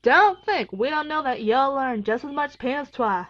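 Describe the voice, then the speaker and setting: A woman's voice: high-pitched, lively intonation, measured pace, fairly bright tone. A woman playing a blunt country pony with an American Southern accent in a fan audio drama. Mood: blunt, urgent. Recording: dull audio drama clip with music.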